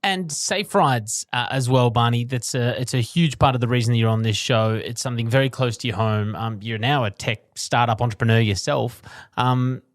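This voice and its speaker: A man hosting a podcast, asking a guest a question in a measured, polite way. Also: medium pitch, no echo, clean studio sound. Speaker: podcast host. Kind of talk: interview question.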